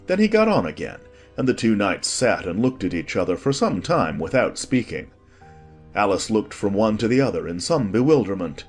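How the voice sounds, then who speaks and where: A man with a husky voice reading a scripted story as an expressive audiobook narrator. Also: fairly low pitch, moderate pace. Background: faint music.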